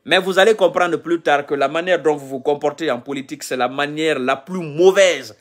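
A man's voice: a little high, a little fast. A man in his thirties from Guinea, ranting heatedly in a selfie live video, lecturing and repeating his points, filmed on a phone held at arm's length in a small room. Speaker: political commentator. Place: indoors, small room, on a sofa.

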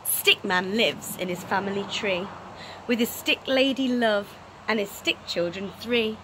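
A woman in her thirties reading a children's story aloud in an animated, dramatic way, recorded outdoors. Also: mid-range voice, measured pace. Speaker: storytelling teacher. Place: outdoors on an open wooden deck. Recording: outdoor recording, clear background noise.

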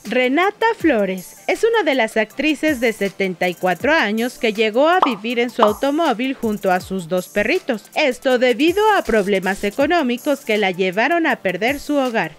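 A woman reading a scripted celebrity gossip voice-over in a chatty, playful way. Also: fairly high pitch. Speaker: narrator.

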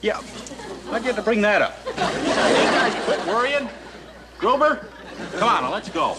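A high, wildly swooping voice of a flustered young navy ensign, eager and bumbling. He talks in excitable bursts, as in a 1960s sitcom. The old soundtrack is noisy, with a loud audience laughing behind. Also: measured pace, over a noisy crowd. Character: bumbling jittery young male naval ensign. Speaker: ensign, second in command.

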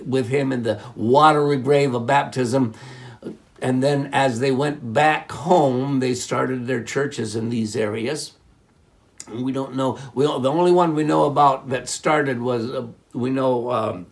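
A man in his sixties, a pastor, preaching an animated, folksy sermon from a room at home, often stopping to ask "okay?". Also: mid-range voice, gravelly. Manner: animated, gesturing with raised hands. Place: home room before a decorated fireplace.

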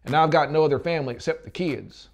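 A man's voice: a little high, fast talker, dull audio, voice pushed up. A man voicing a regretful, plain-spoken small-town husband and father in an audiobook. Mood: resigned.

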